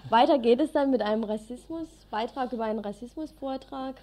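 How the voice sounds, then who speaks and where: A woman's voice: fairly high pitch, brisk, mellow. A woman presenting news live on community radio, partly reading out and partly speaking freely.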